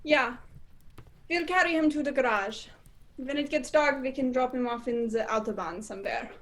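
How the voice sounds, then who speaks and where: A high-pitched female villain of a radio play, acted by a young woman. She is a spy chief with a respectable front, suave and polite, laying out threats without hurry. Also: a bit bright. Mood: brisk command. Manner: polite, unhurried menace. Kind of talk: amateur radio play acting. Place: indoors, performed over a video call.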